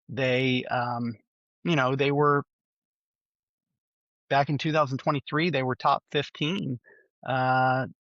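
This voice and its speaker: A man's voice: a little high, dry recording, lo-fi sound. A man with a cold and a stuffy nose, hosting a solo sports preview podcast and reeling off stats with frequent ums and uhs. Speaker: podcast host.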